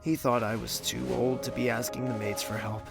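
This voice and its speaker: A man playing a pensive young royal heir in an audio drama, gentle, self-doubting and softly hesitant. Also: medium pitch, level tone, normal speed, gravelly, over music, natural voice. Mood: reflective, hesitant.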